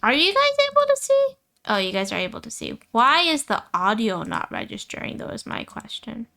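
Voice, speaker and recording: A woman's voice: fairly high pitch, sing-song, moderate pace, gravelly, sharp tone. A woman live streamer chatting casually about a game, often repeating phrases for emphasis. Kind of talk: casual gaming stream chat.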